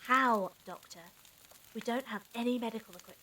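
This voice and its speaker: A woman's voice: a little high, fairly quick, sharp tone, a little hiss or hum, rough recording. A woman playing an anxious, protective peacemaker in an audio drama, imploring and caring. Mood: worried. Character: anxious, protective female peacemaker pleading for calm.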